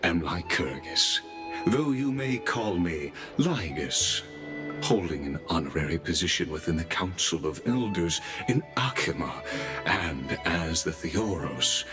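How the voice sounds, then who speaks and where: A very deep-voiced, unhurried male envoy, courtly and smooth, with a lilting, rising and falling delivery. He speaks with genteel formality, over a game score. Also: expressive. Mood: courteous. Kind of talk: studio game voice acting. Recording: game cutscene with music behind.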